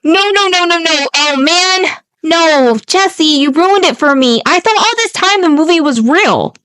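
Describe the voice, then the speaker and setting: A woman's voice: very high-pitched, lively intonation, measured pace, fairly bright tone, no room sound. Effusive middle-aged woman, dry close sound. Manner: effusive, emphatic with repeated phrases. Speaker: podcast co-host reacting to the story. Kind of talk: casual podcast chat.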